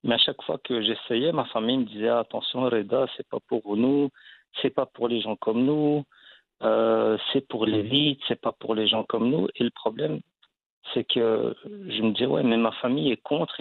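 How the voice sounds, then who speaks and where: A man's voice: mid-range voice, normal speed, vocal fry, no echo. A man from Belgium, an actor and guest, telling his story in a casual interview with many fillers and restarts. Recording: dry, phone-like line.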